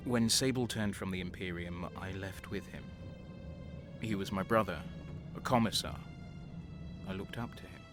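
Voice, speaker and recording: A man playing a brooding, cynical soldier in an audio drama, recounting dark deeds in a halting, sombre, bitter way. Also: low-pitched, over music, close to an everyday voice. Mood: regretful. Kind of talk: dramatic first-person narration and audio drama acting.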